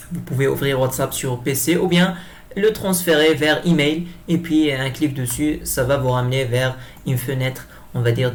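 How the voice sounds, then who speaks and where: Male tutorial presenter, slightly high voice, hesitant and repetitive delivery, some background noise. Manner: hesitant, halting, repeats himself. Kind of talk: improvised software screencast tutorial.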